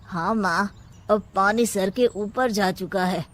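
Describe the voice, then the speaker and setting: A woman's voice: mid-range voice, takes it slowly, fairly bright tone. A woman voicing a reasonable grown son who firmly stands up to his mother. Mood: resolute.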